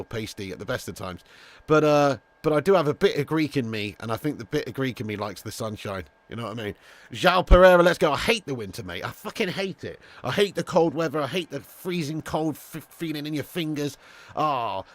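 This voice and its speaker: A young English man hosting a live football watch-along, chatting casually, swearing, and greeting viewers by name from a room at home. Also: mid-range voice. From England. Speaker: host.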